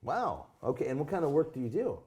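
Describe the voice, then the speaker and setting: A man hosting a martial arts podcast, chatting easily and warmly with an old friend. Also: fairly high pitch, sing-song. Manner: reads the intro slowly and evenly, then chats easily with a guest. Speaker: podcast host. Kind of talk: casual interview. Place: lives in California.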